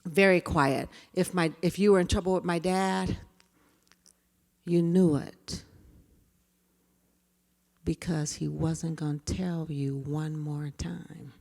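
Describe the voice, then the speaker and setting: Woman in her fifties, workshop trainer with a quiet, low voice, telling personal stories. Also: fairly even intonation, slow, creaky, pauses often. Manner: storytelling, conversational. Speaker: diversity trainer. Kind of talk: workshop talk.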